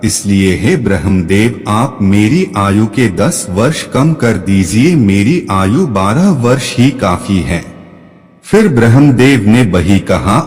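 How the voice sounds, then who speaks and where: A man narrating a devotional fable in a measured, solemn way. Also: on the low side, darkish tone. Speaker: storyteller. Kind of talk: slow devotional story narration. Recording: long hall reverb.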